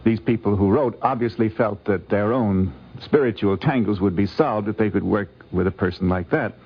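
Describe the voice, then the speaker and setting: Low-voiced man reminiscing, vintage radio sound. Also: normal speed, breathy edge. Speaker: interviewee recalling a visit. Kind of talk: conversational anecdotal recollection. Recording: dull vintage 1950s radio recording.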